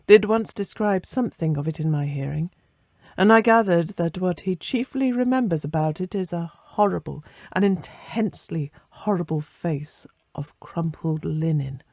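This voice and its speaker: A narrator reading a classic ghost story in a measured, literary and expressive way, letting phrases soften at the end. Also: mid-range voice, dry recording, studio quality.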